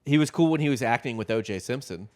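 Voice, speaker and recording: A man's voice: mid-range voice, expressive, quick pace. A man co-hosting a casual comedic history podcast, loose and profane, laughing easily as he tells the story. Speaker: podcast co-host telling the story.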